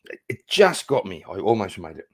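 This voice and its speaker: A man's voice: low-pitched, very animated, a little fast, fairly bright tone, husky. Profane rambling male streamer. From Northern Ireland. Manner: blunt, profane, rambling with many fillers. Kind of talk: casual livestream chat.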